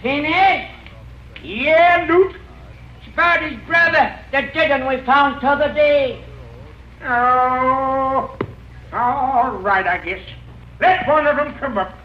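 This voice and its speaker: A man's voice: very high-pitched, slow pace. A man playing a cranky, trigger-happy old miner with drawling rural Western American speech in an old radio drama. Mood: grudging. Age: older. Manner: drawling hillbilly talk. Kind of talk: old-time radio comedy-drama acting. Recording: dull, echoey, noisy old radio recording.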